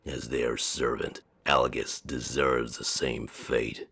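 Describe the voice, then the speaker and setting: An actor playing a cold, ruthless commander who speaks in a measured, unyielding way, voiced for a visual novel game. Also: male voice, extremely low pitch, level tone, vocal fry, fairly bright tone, slightly rough, exaggerated voice. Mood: cold, stern.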